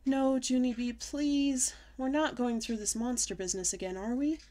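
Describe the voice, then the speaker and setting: A weary grandmother speaks calmly and soothingly, as a woman puts her on. Her voice sits fairly high and stays gentle. She sounds patient but worn out. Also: normal speed, natural voice. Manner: calm, tired, soothing.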